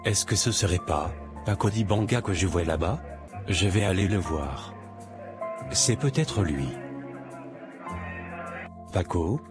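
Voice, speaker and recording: A man's voice: low voice, animated, slow, long pauses. A man playing a cocky, macho young man in a cartoon, boastful and dismissive in manner. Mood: friendly. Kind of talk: cartoon voice acting. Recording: music behind the voice.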